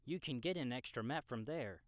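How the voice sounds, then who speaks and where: A man's voice: medium pitch, expressive, normal speed, no echo, studio quality, natural voice. A man playing a cocky, laid-back young gamer guide in an audiobook, casual, slangy and teasing. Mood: explanatory. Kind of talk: audiobook character dialogue in a game-world story.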